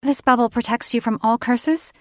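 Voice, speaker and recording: A woman's voice: fairly high pitch, moderate pace, no echo, clean studio sound, pitched above the performer's own voice, lightly acted. Woman doing a frightened, urgent young heroine. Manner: urgent, pleading.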